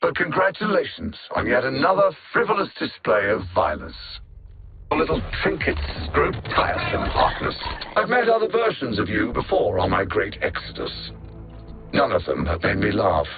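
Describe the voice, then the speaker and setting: An actor playing a cold, arrogant alien machine-intellect supervillain in a video game, haughty and sardonic with deliberate theatrical enunciation, through a synthetic radio-like filter. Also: male voice, on the low side, wildly swooping pitch. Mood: disdainful, then menacing, mocking. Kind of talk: video game villain dialogue. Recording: narrow band-limited radio-like sound, music behind. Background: game music.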